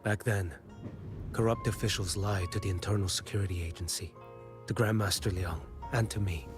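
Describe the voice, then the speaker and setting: A man playing a grave, weary swordsman in a video game, speaking formally in a measured, stoic way. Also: deep voice, steady tone, over music, close to an everyday voice. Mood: grim, resolute. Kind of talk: scripted video game dialogue.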